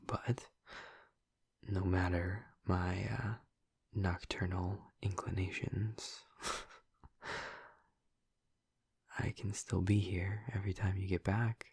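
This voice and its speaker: A man playing a gentle, flirty, earnest vampire in an intimate audio roleplay, murmuring softly and hesitantly in a breathy, gravelly voice. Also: deep voice, little pitch movement, dry recording, heavily acted. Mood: supportive, gentle. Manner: soft, hesitant, halting, reassuring.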